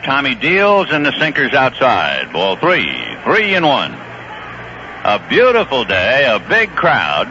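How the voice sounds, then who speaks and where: Middle-aged male radio play-by-play man, bright lively voice, brisk and chatty, dull vintage broadcast sound. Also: mid-range voice. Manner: brisk, conversational play-calling. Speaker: play-by-play announcer. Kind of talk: live radio baseball commentary. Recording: dull old radio broadcast with faint hiss.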